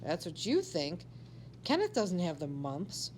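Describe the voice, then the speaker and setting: A woman's voice: on the low side, wildly swooping pitch, a little slow, a little mellow, a little hiss or hum. Woman reading as a bossy, conspiratorial schoolgirl. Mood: dark, menacing. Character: bossy, scheming schoolgirl telling sinister tall tales.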